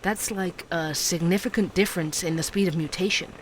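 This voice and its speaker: A man's voice: sits high, steady tone, a little fast, fairly bright tone, slight background noise. A man playing a curious, earnest investigative podcaster in an audio drama, with a polite, questioning way of talking and music behind him. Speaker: podcast host investigating a case. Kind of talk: audio fiction dialogue.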